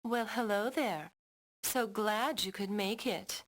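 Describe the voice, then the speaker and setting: A woman playing a haughty game villainess, condescending and coolly menacing in a theatrical style. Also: medium pitch. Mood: disdainful. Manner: condescending, deliberate. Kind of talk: theatrical 1990s game voice acting.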